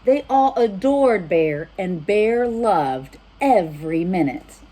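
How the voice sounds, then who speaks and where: Lady doing kids storytime, super expressive up and down, bit of husk in her voice. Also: mid-range voice, slow. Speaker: children's storytime reader. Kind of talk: animated picture-book narration with asides to children.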